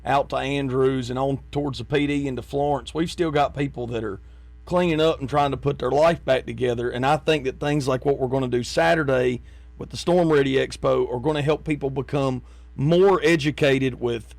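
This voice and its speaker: A man's voice: medium pitch, steady tone, moderate pace, gravelly, darkish tone, no echo. A man with an American accent, conducting a conversational interview as host.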